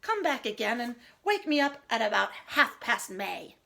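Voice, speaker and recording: A woman's voice: fairly high pitch, big pitch swings, normal speed, creaky, a bit bright, exaggerated voice. Storybook toad, male, played by a woman: sleepy, stubborn, grumbling, weary and reluctant.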